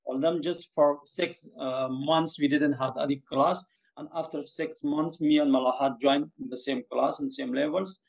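A man from Afghanistan, an ESOL student, answering podcast questions earnestly and haltingly, with frequent fillers. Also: on the high side, fairly even intonation, gravelly, low-quality recording.

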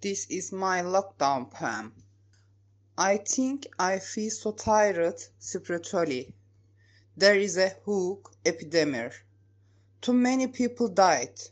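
A woman from Turkey, a student reading her own poem slowly and carefully. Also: mid-range voice, lively intonation. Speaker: language student.

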